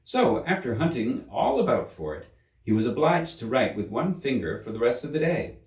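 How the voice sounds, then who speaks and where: A man reading a children's story aloud as an expressive storyteller. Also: medium pitch, moderate pace. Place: indoor room. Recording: roomy, slightly distant microphone.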